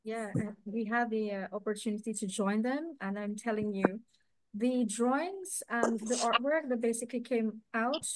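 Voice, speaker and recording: A woman's voice: fairly high pitch, moderate pace, darkish tone, a little hiss or hum, rough recording. A woman hosting an online alumni meetup over a video call, chatty and friendly, with many fillers. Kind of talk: casual moderating on video call.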